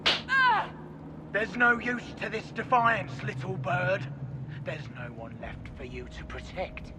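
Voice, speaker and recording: A man's voice: high voice, sing-song, takes it slowly, noisy background, exaggerated voice. A man playing a cruel, menacing torturer in a fantasy game, threatening and emphatic. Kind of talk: dramatic fantasy game dialogue. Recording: loud music over the dialogue.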